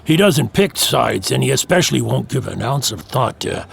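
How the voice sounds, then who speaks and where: An actor playing a wry, dismissive insider in an audio drama, with a gravelly, sardonic drawl. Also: male voice, mid-range voice, big pitch swings, moderate pace, a little hiss or hum. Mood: firm, sardonic. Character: wry, dismissive insider man who won't be pushed.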